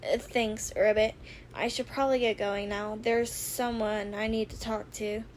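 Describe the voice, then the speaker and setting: A woman's voice: mid-range voice, flat delivery, measured pace, close to an everyday voice. A woman voicing a blunt, level-headed teenage frog-like girl hero in a fan audio drama, matter-of-fact in manner, with background noise on the recording. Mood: calm.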